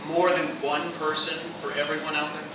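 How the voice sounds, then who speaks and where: A heavily reverberant live recording with clear noise. An actor plays a stubborn, wounded romantic in stage radio drama style, heard at a distance from the microphone. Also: medium pitch, little pitch movement, normal speed, lightly acted. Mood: doubtful, hurt. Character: heartbroken, stubborn romantic clinging to a soulmate.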